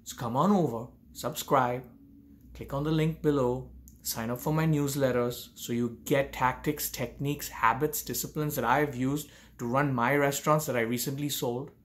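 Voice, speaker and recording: A man's voice: medium pitch, fairly even intonation, normal speed. A man in his forties gives earnest business coaching straight to camera from a room at home, asking many rhetorical questions. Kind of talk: direct-to-camera advice talk. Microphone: camera facing him at close range.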